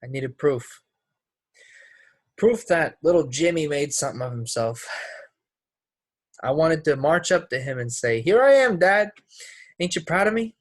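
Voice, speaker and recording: A man's voice: fairly high pitch, expressive, a little slow, pauses often, no room sound, studio quality. A man acting a troubled, defensive teenage boy in a play readthrough, guarded, with folksy ungrammatical phrasing. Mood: hurt, brooding. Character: troubled, defensive teenage boy, small-town drama student. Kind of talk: play readthrough, dramatic dialogue.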